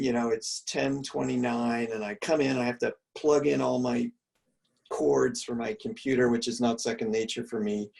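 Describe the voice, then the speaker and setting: A male art history professor musing aloud in relaxed conversation, full of restarts and fillers, speaking from a room at home on a video call. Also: mid-range voice, vocal fry, distant mic, rough recording. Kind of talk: reflective conversational answers.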